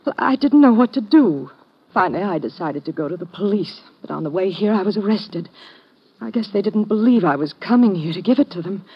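A worried married woman, hesitant and pleading as she explains herself. Her voice is a little breathy, and the sound is dull old radio. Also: medium pitch, lively intonation, brisk. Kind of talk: 1950s radio drama acting.

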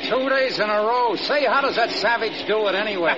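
A man's voice: high-pitched, brisk, close to an everyday voice. A man playing an anxious, respectful airfield serviceman in an old radio drama. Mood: curious, casual. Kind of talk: mid-century radio drama acting. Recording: muffled old radio, loud engine noise.

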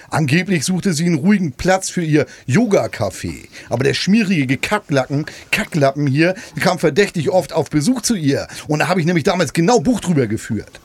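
A man playing a rough, folksy pub landlord in a comic radio play, rambling and boastful, with a husky voice. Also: on the high side, no echo. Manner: folksy, rambling, tags sentences with "ne".

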